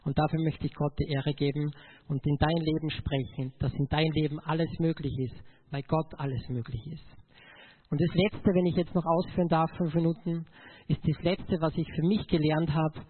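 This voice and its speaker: High-pitched Austrian man preaching, phone-like sound. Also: fairly even intonation, moderate pace, slight background noise. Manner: emotional, emphatic, addresses listeners directly. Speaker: motivational speaker giving a testimony.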